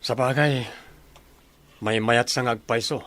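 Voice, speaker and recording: A man's voice: mid-range voice, steady tone, slow, a bit bright. A man playing a teasing young buddy in radio drama dialogue. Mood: earnest.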